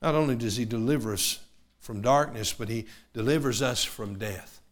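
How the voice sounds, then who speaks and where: A man, preaching a sermon. His delivery swings from loud, fervent preaching to quiet personal asides. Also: medium pitch, normal speed. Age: 50s.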